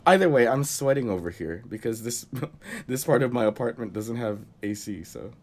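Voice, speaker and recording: A man's voice: mid-range voice, lively intonation, normal speed, a little mellow. A young man co-hosting a casual podcast, talking through a cold in a rambling way and chuckling often. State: has a cold. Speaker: podcast co-host.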